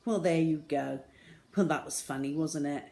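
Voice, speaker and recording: A woman reading a story to children as host, dramatic, with a way of dropping into suspenseful hush. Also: deep voice, normal speed. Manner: dramatic, with hushed suspenseful drops.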